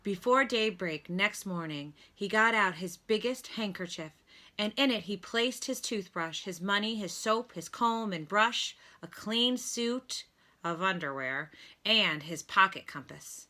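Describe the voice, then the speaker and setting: A woman reading a children's storybook aloud like a teacher, with deliberate, instructive delivery. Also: medium pitch, animated, bright, forceful tone. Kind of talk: read-aloud storybook narration with lesson prompts.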